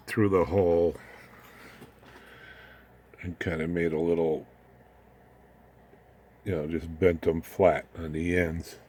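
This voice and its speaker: An older man giving a casual, unscripted walkthrough as a hobbyist presenter, in a folksy, halting way with wry asides. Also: sits low, fairly bright tone, a little hiss or hum.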